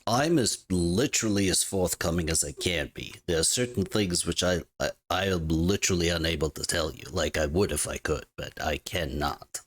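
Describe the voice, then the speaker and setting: A man's voice: deep voice, normal speed, hardly any pauses. A man voicing an evasive male imp servant with a scratchy voice, hedging and loyal to his master. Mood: pleading. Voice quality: scratchy, gravelly.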